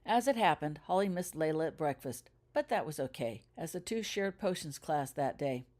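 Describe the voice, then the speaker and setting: A woman with a low-pitched voice reads fiction aloud. She is the author of the story, delivering it as scripted audiobook narration. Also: expressive, normal speed, a little mellow. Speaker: author reading their own fan fiction aloud.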